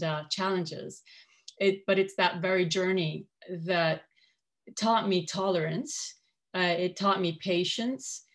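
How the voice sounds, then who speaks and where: A woman in her forties from Kuwait speaking on a panel, telling her personal story in a measured, articulate way. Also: on the low side. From Kuwait, of Syrian parents. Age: 40s. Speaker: panel speaker, coach and media professional. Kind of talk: personal storytelling in a prepared talk.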